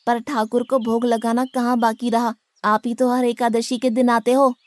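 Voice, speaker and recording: High-pitched grumbling Brahmin cook played by a woman. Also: little pitch movement, normal speed, no echo, close to an everyday voice. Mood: bossy, impatient. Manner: blunt and complaining. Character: greedy, grumbling, bossy male Brahmin cook, simple-hearted devotee. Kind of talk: Hindi cartoon moral-story acting.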